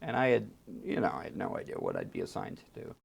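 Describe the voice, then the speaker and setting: A man being interviewed, telling a personal story with dramatic emphasis and pauses. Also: on the low side, wildly swooping pitch, gravelly, husky. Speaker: interviewee, archive fellow and translator.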